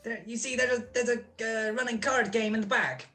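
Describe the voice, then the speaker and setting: A woman's voice: on the high side, steady tone, normal speed, pushed and bright, faint music behind. A woman playing a nervous male stool pigeon in a radio crime drama, stammering, slangy and pleading. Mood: anxious, confiding. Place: recorded at home over a video call.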